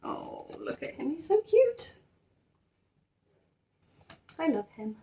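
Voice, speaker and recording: A woman teacher sharing a children's picture book, expressive and enthusiastic, with chatty asides. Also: very high voice, slow pace, a little hiss or hum. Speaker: teacher reading to pupils. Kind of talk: children's storybook read-aloud with chatty asides.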